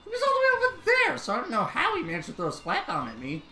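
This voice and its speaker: A young man streaming a shooter game from home at a desk mic, commenting excitedly and breaking into high-pitched yelps when startled. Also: very fast. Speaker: gaming streamer. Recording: roomy with game music behind.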